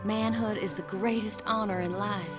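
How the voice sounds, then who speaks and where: A woman's voice: medium pitch, steady tone, moderate pace, close to an everyday voice. A woman playing a fiery, defiant young androgynous alien in an English anime dub. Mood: earnest, wistful. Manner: brash, defiant. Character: fiery young androgynous alien who insists on being male. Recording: music behind the dialogue.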